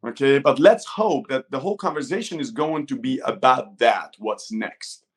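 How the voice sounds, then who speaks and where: A man's voice: mid-range voice, lively intonation, moderate pace, breathy edge. A middle-aged man from Hungary with a Hungarian accent, talking informally and discursively as a coach being interviewed. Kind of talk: conversational interview talk.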